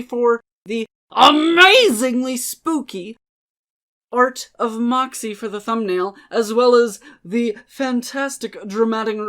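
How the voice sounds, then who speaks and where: A woman's voice: medium pitch, fairly bright tone. A woman talking casually to her listeners as a video creator, rambling and poking fun at herself. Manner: rambling, self-deprecating. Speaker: video creator and dramatic reader.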